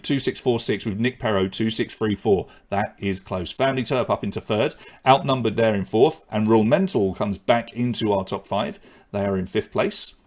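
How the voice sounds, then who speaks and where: A chatty man with a fairly low voice runs an online pub quiz. He reads questions and standings with easy humour and chats between rounds. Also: a little mellow. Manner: chatty, joking asides between reading questions and scores. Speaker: quiz host.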